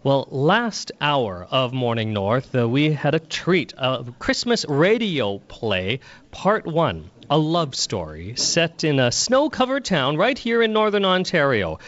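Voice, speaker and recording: A man's voice: on the high side, very animated, slow pace, fairly bright tone. Guy hosting live morning radio, lightish voice, slightly muffled sound. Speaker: radio host. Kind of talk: live local radio presenting.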